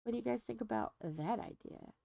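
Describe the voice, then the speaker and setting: A woman's voice: a little high, animated, brisk, gravelly, warm tone, no echo, clean studio sound. A middle-aged woman hosting a diamond painting livestream, chatting casually and rambling as she thinks aloud with many fillers, a little stuffy.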